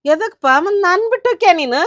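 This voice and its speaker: A woman's voice: very high-pitched, animated. A middle-aged woman with a North Karnataka Kannada accent, playing a jealous, possessive mother-in-law who scolds her son and daughter-in-law. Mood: pleading, tearful. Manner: scolding, sharp, and quick to blame. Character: jealous possessive mother-in-law, quick-tempered, later remorseful. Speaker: actor. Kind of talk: village family drama acting.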